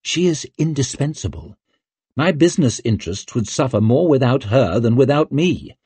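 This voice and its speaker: A man voicing a cool, ruthless young noblewoman with a crisp, commanding manner, raised well above his own voice. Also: mid-range voice, animated, darkish tone, dry recording, voice pushed up. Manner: crisp, commanding, quick to argue.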